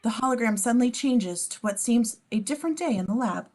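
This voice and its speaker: A woman's voice: a little high, expressive, fairly quick, darkish tone. A woman narrating as scene description reader, reading screenplay stage directions aloud at a table read.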